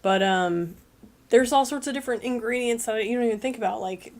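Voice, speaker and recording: A woman's voice: fairly high pitch, a little fast, darkish tone. A woman co-hosting a livestream, chatting upbeat and reading out viewer comments. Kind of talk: casual chat.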